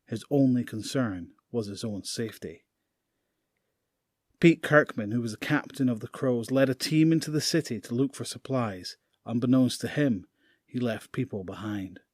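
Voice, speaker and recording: A man narrating an audio drama in a measured, grave storytelling manner. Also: mid-range voice, a little mellow, no echo, studio quality. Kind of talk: scripted narration.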